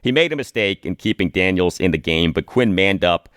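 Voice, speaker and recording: Animated, low-voiced man hosting sports talk. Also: fairly quick, no room sound, studio quality. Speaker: sports podcast host. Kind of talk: solo sports commentary, reading listener emails and sponsor spots aloud.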